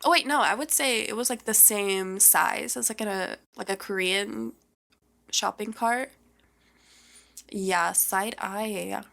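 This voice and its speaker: A young woman co-hosting a podcast in a casual, gossipy chat, animated and slangy, swearing freely. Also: medium pitch, takes it slowly, vocal fry. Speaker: podcast co-host.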